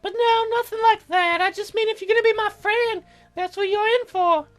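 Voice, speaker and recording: A man's voice: very high-pitched, fairly even intonation, normal speed, a bit bright, soft background music, voice pushed up. A man voicing a perky, cheeky teenage cloud fairy girl with a sassy, casual, boastful way of talking, for a video game. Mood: cheerful.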